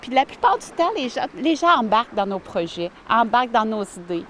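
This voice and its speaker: A woman, answering interview questions warmly and earnestly outdoors by a lake, with many fillers and restarts. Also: fairly high pitch, big pitch swings, fairly quick, slight background noise. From Ottawa, Canada. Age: 40s. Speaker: village mayor, interviewee.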